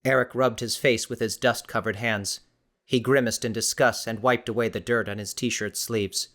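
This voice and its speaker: A man's voice: medium pitch, fairly even intonation, normal speed, a bit bright. A man reading scripted, dramatic story narration as an audiobook narrator.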